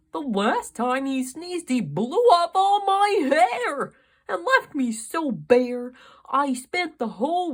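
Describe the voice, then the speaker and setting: A woman voicing a complaining male bear in a storybook, indignant and loud. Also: sits high, full character voice. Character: grumbling bald male bear.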